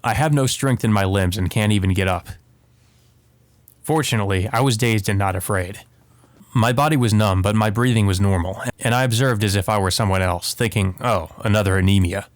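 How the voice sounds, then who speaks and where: A man's voice: on the low side, a little fast, no room sound. A man giving casual commentary and reading visual novel text aloud as a let's play host, with game music behind him. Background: video game music.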